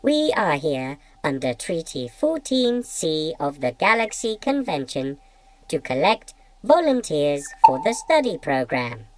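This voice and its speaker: A woman's voice: low-pitched, big pitch swings, a little slow, poor audio. A woman playing a translator voice box that intones formal official announcements in an audio drama. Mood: coolly official. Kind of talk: scripted audio fiction acting.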